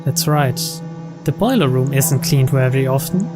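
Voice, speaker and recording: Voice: medium pitch, expressive, a little slow, loud music behind the voice. A narrator reading out the story text of a visual novel as scripted narration.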